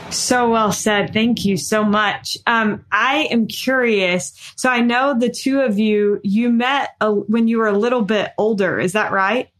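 A woman's voice: a little high, a little slow, few pauses. A woman hosting a podcast, politely thanking her guests and asking how they met. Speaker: podcast host. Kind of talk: interviewing.

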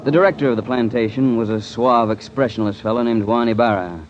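An actor playing a hard-boiled, principled American investigator in a vintage radio drama, terse and measured as he narrates and speaks. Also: mid-range voice, background noise, lightly acted. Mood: brooding narration. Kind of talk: 1940s-50s radio drama acting with first-person narration. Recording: muffled vintage radio with music.

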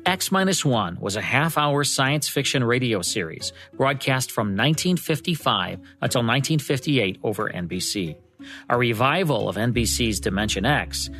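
Medium-pitched American male radio host over music. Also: fairly bright tone. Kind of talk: scripted narration.

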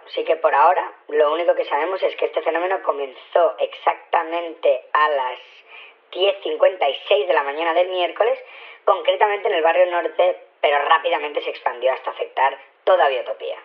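An actor playing a flustered, hesitant security chief shrunk to half size in a radio drama, with a small pitched-up voice on a telephone. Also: male voice, a little fast. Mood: sheepish, explaining. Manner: hesitant, candid. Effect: pitched-up tiny voice. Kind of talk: scripted radio drama phone interview. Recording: muffled narrow telephone line.

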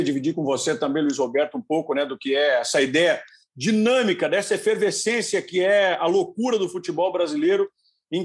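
High-voiced effusive sports commentator. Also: male voice. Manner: effusive, broadcaster-like flowing phrasing. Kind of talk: podcast discussion.

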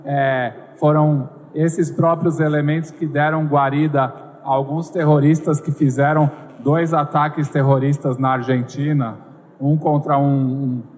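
A man giving a measured, explanatory interview answer. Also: mid-range voice, darkish tone. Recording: echoey hall.

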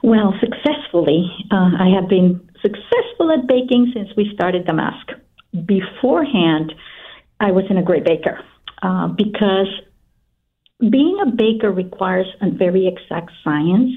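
A middle-aged woman from Guatemala talks as an interview guest in a conversational storytelling way. Her voice sits at an average pitch and comes through narrow and phone-like, a little away from the mic. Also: lively intonation, a little slow. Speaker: interview guest, company founder. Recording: slightly distant, narrow phone-like sound.

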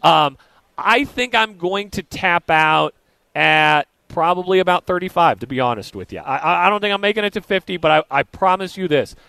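A man with a high voice and a gravelly fry hosts sports radio. He chats casually and banters, and the sound is close and dry. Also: lively intonation, moderate pace, pushed and bright, dry recording.

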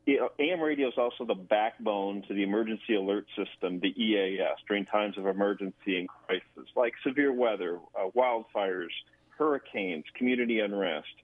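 A man speaking as an interview guest over a phone, explaining in a halting way and repeating words. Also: fairly low pitch, no room sound. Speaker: guest, government affairs director. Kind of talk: explanatory radio interview talk. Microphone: phone call. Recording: narrow tinny telephone line.

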